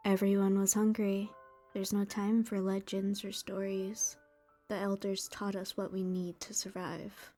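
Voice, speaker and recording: Woman playing a proud, mellow-voiced warrior woman. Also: mid-range voice, little pitch movement, a little slow, soft background music, close to an everyday voice. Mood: somber. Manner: solemn, formal, blunt. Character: proud, stern young female slave warrior, dutiful. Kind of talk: dramatized fan comic dub.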